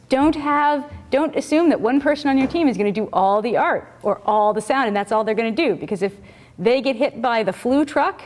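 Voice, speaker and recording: A woman's voice: fairly high pitch, darkish tone. Wry woman giving classroom warnings. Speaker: course instructor. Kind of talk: university lecture.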